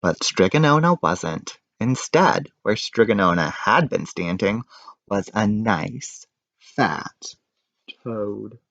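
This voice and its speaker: A man reading a storybook aloud to children in an expressive narrating style. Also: fairly low pitch, slow pace, vocal fry, no room sound, highs cut off. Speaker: storybook reader.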